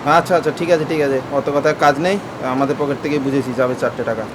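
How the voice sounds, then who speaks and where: A man's voice: a little high, fairly even intonation, quick pace, natural voice. A man playing a brusque, impatient bus conductor in an audio story, hectoring and repeating his calls in colloquial speech. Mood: grudging, placating. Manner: hectoring, repetitive calling out, colloquial. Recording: clear street and vehicle noise behind. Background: bus engine and traffic sound effects.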